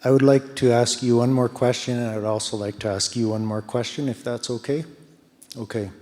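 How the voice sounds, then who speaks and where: A man moderating a post-show talk, asking questions gently and thanking the guests with visible emotion. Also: mid-range voice, fairly even intonation, brisk, slight background noise. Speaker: event host and moderator. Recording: reverberant theatre hall.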